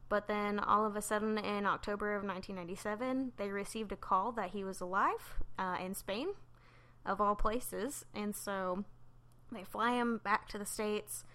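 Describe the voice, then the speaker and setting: A woman's voice: medium pitch, steady tone, moderate pace, fairly bright tone. A woman co-hosting a podcast, telling a true crime story in a loose, chatty way full of "um" and "like". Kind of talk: casual storytelling chat.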